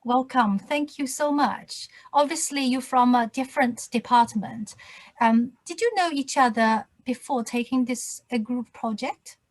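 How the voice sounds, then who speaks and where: A woman finance lecturer hosting an interview, speaking in a slow, measured and formal way over a video call. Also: fairly high pitch, low-quality recording. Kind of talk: scripted introduction and interview questions.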